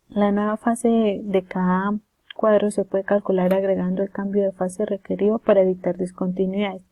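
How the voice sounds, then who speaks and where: A woman's voice: mid-range voice, dark tone, no echo. A woman presenting a scripted technical lesson in a formal, methodical way, reading it out step by step. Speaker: presenter explaining signal processing.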